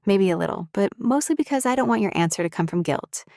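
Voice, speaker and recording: A woman playing a lovesick, jealous young roommate in an intimate ASMR roleplay, speaking haltingly and confessionally, straight to the listener. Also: medium pitch, animated, gravelly, darkish tone, dry recording, studio quality. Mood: earnest.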